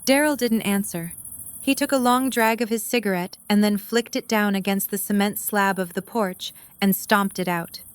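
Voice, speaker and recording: A woman's voice: medium pitch, moderate pace, clear, resonant tone, no echo. A woman reading scripted story narration in the third person.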